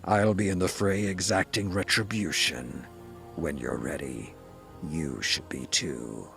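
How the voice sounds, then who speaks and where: Deep, gravelly, vengeful male warrior lord. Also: steady tone, unhurried, fairly bright tone, soft background music, heavily acted. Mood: grim resolve. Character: vengeful, battle-hardened Iron Lord warrior, proud and grim. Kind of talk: dramatic game cutscene monologue.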